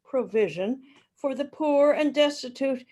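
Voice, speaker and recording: A woman playing a polite, portly charity gentleman in a dramatic reading, formal and courteous. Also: sits high, measured pace. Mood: solicitous. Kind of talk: dramatic reading of a Victorian story.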